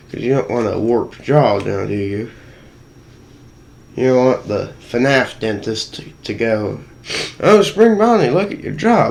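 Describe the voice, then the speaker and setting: A man's voice: medium pitch, vocal fry. A man with a put-on British accent, rambling and joking while walking through a cosplay build. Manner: rambling, jokey, self-deprecating. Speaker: cosplay maker. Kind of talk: how-to tutorial vlog. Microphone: voice muffled inside a costume helmet.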